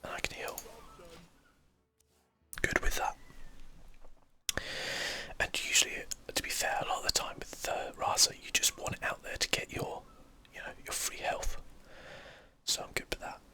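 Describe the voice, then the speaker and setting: A man with a southern English accent whispers casual, chatty ASMR game commentary in a breathy, unvoiced voice, musing aloud as he plays. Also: normal speed, pauses often, sharp tone. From southern England, UK. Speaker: host of an ASMR gaming channel.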